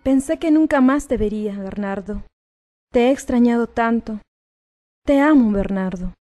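Woman playing a tender, mellow lovesick girl. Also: on the high side, moderate pace, faint music behind, natural voice. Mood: yearning. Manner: tender, yearning. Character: lovesick young woman pining for lost sweetheart. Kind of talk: radio drama acting.

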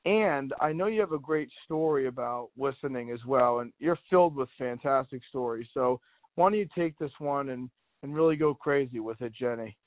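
A man's voice: medium pitch, moderate pace, no echo. A man co-hosting a podcast, easygoing and slangy as he hands over to his co-host. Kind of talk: casual podcast talk. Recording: dry, tinny phone-like sound.

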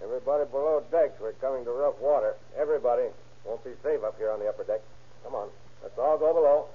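An actor playing a deferential, plain-spoken boat captain in an old radio drama. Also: male voice, mid-range voice, fast, close to an everyday voice. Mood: urgent calling. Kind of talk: 1940s radio acting. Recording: muffled, hissy old radio recording.

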